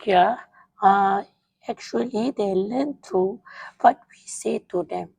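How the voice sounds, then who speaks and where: A woman's voice: mid-range voice, slow pace, creaky, darkish tone, slightly rough, no room sound. A woman whose first language is Mandarin, talking casually in simple, broken English with many fillers. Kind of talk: casual podcast chat.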